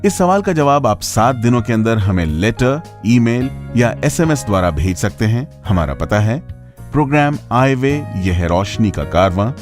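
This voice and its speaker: A man's voice: medium pitch, a little mellow. A man presenting a radio magazine show in a playful, conversational way, addressing listeners directly.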